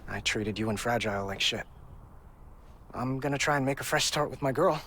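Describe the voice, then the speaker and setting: A man's voice: medium pitch, a little fast, a bit bright, close to an everyday voice. An actor playing a humbled, earnest repairman in a cinematic video game, speaking plainly and from the heart. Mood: contrite, resolute. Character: humbled, earnest repairman in love, making amends. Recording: music behind the voice.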